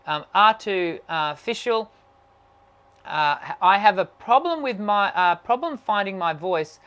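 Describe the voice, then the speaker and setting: Man, high natural voice, singing coach, casual live stream, musing, reads out comments. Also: expressive, unhurried, a bit bright, clean tone, dull audio. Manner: musing, reads viewer comments aloud, many fillers and restarts.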